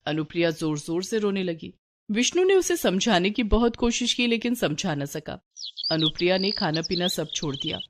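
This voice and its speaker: A woman's voice: on the low side, sing-song, moderate pace. A woman reading a scripted moral story for children as its narrator.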